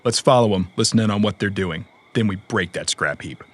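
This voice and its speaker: A man playing a gruff but loyal cyberpunk mercenary in an audio drama, protective and dry, in an understated conversational delivery. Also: lowish voice, brisk, natural voice. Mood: grim, resolute.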